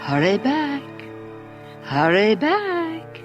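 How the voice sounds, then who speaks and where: Over faint music comes a slightly dull radio promo. a ghoulish horror host, morbidly playful and creepy. He teases the listener at an extremely slow pace. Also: extremely high pitch, huge pitch swings, full character voice. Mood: creepy, gleeful. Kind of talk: spooky radio station promo.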